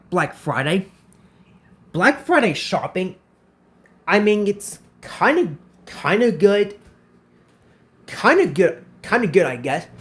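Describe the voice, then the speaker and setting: A man in his twenties hosting a casual question-and-answer vlog, who often repeats himself and restarts his phrases. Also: high-pitched. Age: 20s. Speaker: channel host answering viewer questions.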